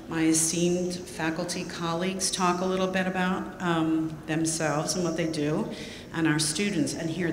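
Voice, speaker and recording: A woman moderating a panel, joking and chatty in an informal way. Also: on the low side. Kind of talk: hosting a panel. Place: lecture hall. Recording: echoey hall, faint room noise.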